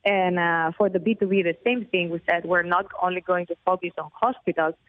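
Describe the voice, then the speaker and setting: A woman's voice: fairly low pitch, fairly even intonation, brisk, no room sound. A woman with a Barcelona Spanish accent, a company founder giving an upbeat, fluent radio interview over the phone. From Spain. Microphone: phone line. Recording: tinny, dry phone line.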